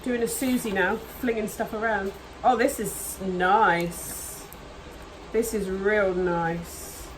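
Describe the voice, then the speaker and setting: A woman talks through an unboxing in a casual, gushing way, sniffling now and then from hay fever. Her voice sits at an ordinary pitch for a woman. A faint hiss of background noise runs under the recording. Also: steady tone, slow pace, rough recording. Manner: chatty, gushing over products. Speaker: nail vlogger. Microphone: camera mic, voice off camera.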